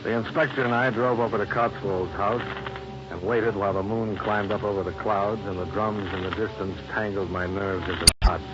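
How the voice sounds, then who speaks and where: A muffled old radio recording with loud music carries a tough male detective, played by an actor. His voice sits fairly low. He tells his case in terse, wry, hard-boiled phrases. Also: steady tone, moderate pace, close to an everyday voice. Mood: grim narration. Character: tough, dry-witted male insurance investigator narrating his case. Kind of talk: 1950s radio drama acting and first-person detective narration.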